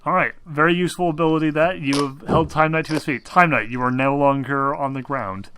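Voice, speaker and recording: A man's voice: on the high side, steady tone, brisk, a bit bright. A man running a tabletop game, narrating casually and informally. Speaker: game master.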